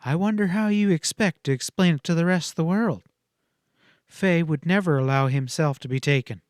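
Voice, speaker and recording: Guy doing a feisty young western gal, raised pitch, cutting and emphatic, dry close storybook sound. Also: normal speed, a little mellow, no room sound, lightly acted. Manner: emphatic, cutting, pleading outbursts. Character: fiery, scornful young frontier woman, quick-tempered.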